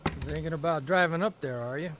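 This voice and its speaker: An actor playing a restless, good-humored newspaper columnist who talks in folksy banter. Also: male voice, a little high, fast talker, fairly bright tone, a little hiss or hum, close to an everyday voice. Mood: easygoing. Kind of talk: audio drama acting.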